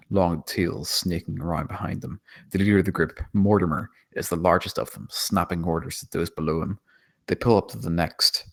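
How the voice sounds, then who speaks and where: A man with a very low voice narrates a screenplay. He reads out scene descriptions and stage directions as scripted narration. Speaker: narrator of screenplay table read.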